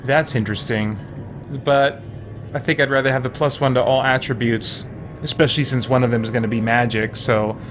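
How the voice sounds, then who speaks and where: A man's voice: mid-range voice, fairly even intonation, a little fast, a bit bright. Casual male game streamer, noisy background. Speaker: live streamer playing a video game. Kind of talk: casual gameplay commentary, chatting with viewers. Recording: clear background noise behind the voice.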